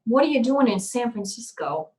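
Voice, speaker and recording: A woman's voice: on the high side, lively intonation, normal speed, a little mellow, close to an everyday voice. A woman playing a tough, terse hardboiled private eye in an old-time radio detective play. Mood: cool, probing. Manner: terse, no-nonsense.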